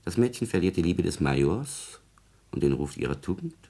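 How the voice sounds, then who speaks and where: A scheming court secretary from a classical radio drama. The voice is very low and husky, with lively, swooping intonation. The delivery is obsequious and formal, always calculating and insinuating. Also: male voice, moderate pace, exaggerated voice. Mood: smug. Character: scheming, servile male court secretary plotting intrigue.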